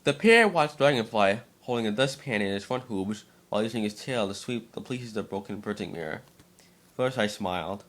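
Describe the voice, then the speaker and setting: A man's voice: on the low side, animated, a little fast, a bit bright. A man narrating a scripted story as an amateur dramatic reading, sometimes stumbling over and repeating words.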